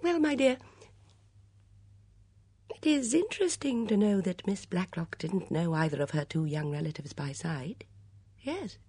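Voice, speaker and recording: Woman doing a gentle, shrewd, high-lilting old lady. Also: animated, a little slow, long pauses, slightly rough, no echo. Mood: thoughtful. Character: gentle, shrewd, thoughtful elderly spinster lady.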